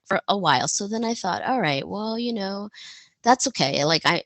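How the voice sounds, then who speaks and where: A woman giving a personal storytelling presentation as a panel speaker, halting and reflective as she goes. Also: mid-range voice, animated, fairly bright tone, dry recording.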